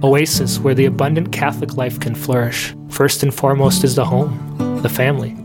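An American man of medium pitch speaks as a priest over clear guitar music. He delivers a scripted, reflective radio message. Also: steady tone, normal speed.

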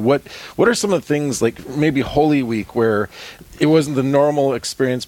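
Mid-pitched male radio host asking questions. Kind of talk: radio interview.

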